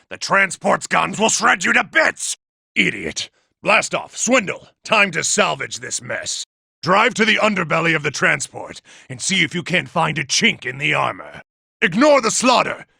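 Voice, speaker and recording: An actor playing a commanding, impatient giant robot military tactician in a video game, with a gravelly, raspy voice and a forceful delivery. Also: male voice, high voice, no echo, studio quality, exaggerated voice. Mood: angry, urgent. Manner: forceful, barking orders. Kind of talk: video game character acting.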